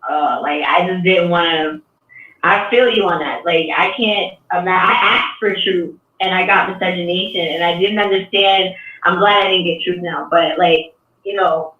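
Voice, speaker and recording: A woman's voice: on the low side, fairly even intonation, moderate pace, a little hiss or hum. A woman speaks earnestly, working through her thoughts aloud with many restarts. Her voice carries a roomy echo and sounds muffled, set well back from the microphone. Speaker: artist. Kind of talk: casual panel discussion. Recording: dull, echoey sound from a distance.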